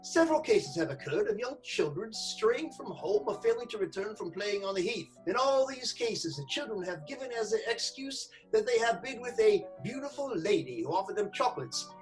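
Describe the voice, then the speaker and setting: An actor playing a reader of lurid newspaper reports in a formal, declamatory radio play style. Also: male voice, sits high, sing-song, normal speed, hardly any pauses, soft background music, poor audio. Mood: sensational, urgent.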